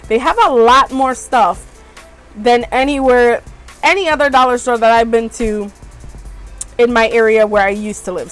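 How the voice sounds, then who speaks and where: A woman beauty vlogger with a stuffed-up, congested voice, chatting casually and rambling with lots of ums while she shows her purchases. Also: fairly high pitch. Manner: chatty, rambling, many ums and "you guys". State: stuffed up from allergies. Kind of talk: casual haul chat. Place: small RV room.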